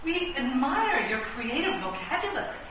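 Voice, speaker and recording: A woman's voice: high-pitched, very animated, moderate pace, fairly bright tone. A woman playing a defiant, sardonic, bookish chess pawn in a comic radio play, declaiming and correcting wryly. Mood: placating. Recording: echoey room, distant mic, noisy background.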